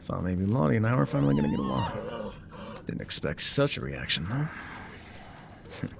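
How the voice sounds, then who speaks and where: A man's voice: medium pitch, wildly swooping pitch, moderate pace, gravelly, crowd noise. A man playing an enigmatic, wry guide through dangerous wilds in an intimate ASMR roleplay, musing, teasing and often trailing off. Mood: wry, flirtatious.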